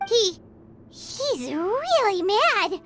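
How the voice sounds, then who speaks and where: Squeaky, very high childlike character. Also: female voice, very animated, drawn-out words, a little hiss or hum, full character voice. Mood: alarmed. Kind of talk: video game voice acting.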